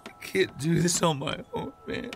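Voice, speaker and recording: A man's voice: fairly high pitch, moderate pace, creaky. An actor playing a drunk, bitter, grieving man muttering to himself in an audio drama. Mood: bitter, despairing. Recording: faint background noise.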